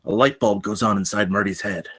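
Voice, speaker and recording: Low-voiced man reading screenplay stage directions. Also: fairly even intonation, fairly quick, a bit bright, slightly rough. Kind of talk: screenplay table read narration.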